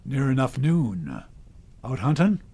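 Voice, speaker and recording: A man's voice: mid-range voice, huge pitch swings, slow pace, mellow, husky, heavily acted. An actor playing a cranky, world-weary old man in the woods, with a folksy, grumbling manner, in a radio drama. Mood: guarded, terse.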